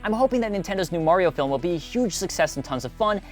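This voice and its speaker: A man's voice: sits high, lively intonation, fast, faint music behind. A man hosting a video essay, reading scripted narration with wry, conversational asides. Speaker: host of a video essay series.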